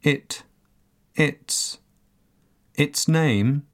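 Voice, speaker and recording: A man narrating a scripted language course recording, enunciating each word carefully and deliberately. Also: fairly high pitch. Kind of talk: scripted language-lesson reading.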